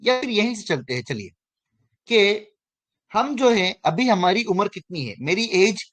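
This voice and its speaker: A man's voice: sits high, very animated, measured pace, a bit bright. A man teaching an online finance class. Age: 30s. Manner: repeats and checks in with students, self-correcting. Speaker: finance course instructor.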